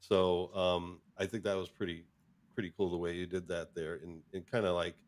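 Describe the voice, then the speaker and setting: A man's voice: low-pitched, level tone, moderate pace. A man hosting a casual talk show, chatting in a rambling way as he thinks aloud. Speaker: host of a fan fiction show. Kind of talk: casual chat.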